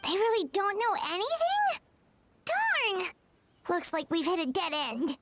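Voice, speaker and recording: A woman voicing a small, excitable, bossy girl companion in an anime game, with music behind her. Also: extremely high pitch, measured pace, very noisy, exaggerated voice. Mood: determined. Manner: exclamatory, dramatic, cheeky. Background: background music.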